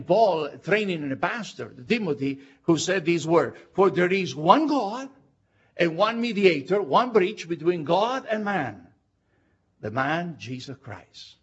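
An older man from Argentina with an Argentine Spanish accent, preaching a sermon with emphatic, drawn-out words. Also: high-pitched, husky. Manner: animated and emphatic, drawing out key words. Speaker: pastor. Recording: dull lo-fi recording.

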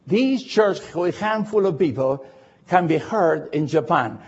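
Husky older preacher with a foreign accent. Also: male voice, fairly high pitch, animated, measured pace, muffled recording. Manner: emphatic repetition of short phrases. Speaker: Baptist pastor preaching. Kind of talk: expository sermon with personal anecdotes.

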